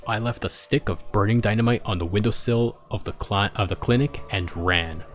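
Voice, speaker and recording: A man's voice: fairly low pitch, moderate pace, faint music behind. A man narrating a story as he reads fan fiction aloud, sometimes stumbling and rereading a line.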